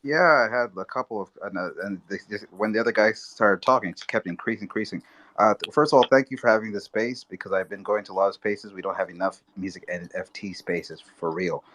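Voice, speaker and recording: A man joining a live discussion as a participant, talking casually in a rambling, halting way. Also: fairly low pitch, fairly even intonation, fairly bright tone. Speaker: audience participant.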